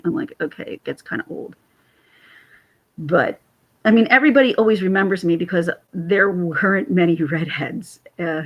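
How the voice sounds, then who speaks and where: A woman with a raspy voice chatting casually to camera on her vlog, rambling and laughing often. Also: fairly low pitch, dull audio. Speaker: YouTube commentator.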